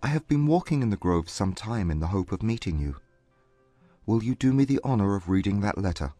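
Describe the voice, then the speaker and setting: An actor playing a proud, haughty young Regency gentleman in a dramatized audiobook, speaking in a formal, composed, measured way. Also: lowish voice, big pitch swings, no room sound. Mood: haughty composure. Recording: dry close recording with music behind. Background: music.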